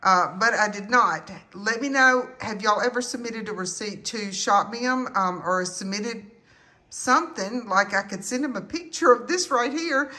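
A woman chatting casually to viewers as a couponing vlogger, in a halting, rambling way. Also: a little high, bright, forceful tone. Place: indoors at home.

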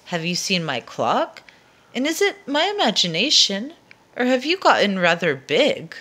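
A woman's voice: medium pitch, huge pitch swings, measured pace, sharp tone, slightly rough, heavily acted. A woman voicing a young boy in a storybook, puzzled and exasperated by his pet, speaking emphatically.

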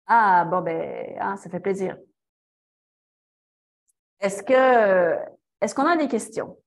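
A woman's voice: mid-range voice, expressive, slow pace. A young woman with a Québécois French accent, giving a casual talk and answering questions on a video call, chatty, full of fillers and restarts. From Quebec. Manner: chatty, many fillers and restarts, laughs easily. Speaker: guest speaker, cyclist. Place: small office in front of a banner. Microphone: laptop webcam video call.

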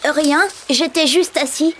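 A woman voicing a polite, thoughtful young boy prince in a French cartoon dub, gentle and earnest. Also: high-pitched, fairly even intonation, slow, slight background noise. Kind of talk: French animated film dub.

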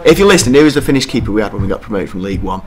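A young man from the co-hosts a fan podcast, arguing his points eagerly and circling back when he is unsure. His voice sits fairly low, and he talks into a desk mic in a small studio room. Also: racing. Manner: animated, opinionated, repeats himself when unsure. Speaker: podcast co-host. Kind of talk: casual football chat. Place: small studio room with brick wall and curtain.

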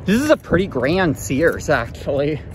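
A man's voice: fairly high pitch, big pitch swings, normal speed, slight background noise. A man giving casual walking commentary as he explores an empty mall's grounds and loading docks, muttering amazed asides, recorded on a handheld phone. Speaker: urban explorer vlogger. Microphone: handheld phone camera.

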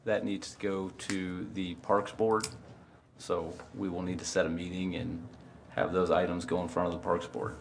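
A low-pitched American man presides over a public meeting. He moves through formal procedure in an unscripted way, recorded in a room with some background noise. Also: level tone, normal speed, vocal fry. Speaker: town council president chairing a meeting. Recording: noisy meeting room audio.

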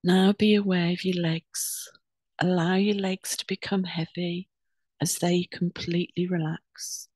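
A woman leading a guided meditation in a soothing, unhurried, instructing way with pauses between phrases. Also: fairly low pitch, level tone, darkish tone, no room sound.

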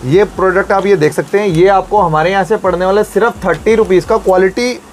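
A man in his thirties, a wholesale shop owner, giving a sales pitch to the camera inside a busy cosmetics shop, listing brands and prices over and over. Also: steady tone, a little fast, a little hiss or hum.

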